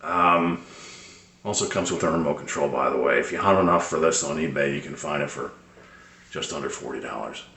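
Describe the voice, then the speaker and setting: A man giving casual, unscripted product tips as a hobbyist presenter, explaining in a hesitant, halting way. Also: a bass voice, pushed and bright, roomy sound.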